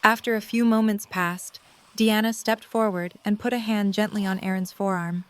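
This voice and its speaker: A female narrator with a medium-pitched voice reads a story. Her delivery is scripted fiction narration, as heard between scenes of an audio drama. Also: fairly even intonation, moderate pace, clear, resonant tone.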